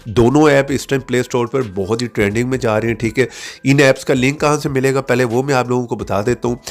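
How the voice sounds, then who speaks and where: A man hosting a tech tips video, explaining things step by step in an instructional way with frequent short check-in tags. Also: mid-range voice. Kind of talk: app tutorial narration.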